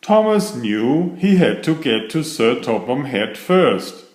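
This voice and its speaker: A man reading a children's bedtime story aloud in a deliberate, expressive storytelling manner. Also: medium pitch. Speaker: storybook reader. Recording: roomy, echoey sound.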